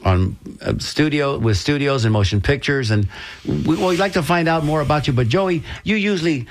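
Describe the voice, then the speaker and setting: A man's voice: fairly high pitch, normal speed, a little hiss or hum. A man co-hosting a radio interview, speaking conversationally and chiming in with short remarks. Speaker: radio show co-host. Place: radio studio.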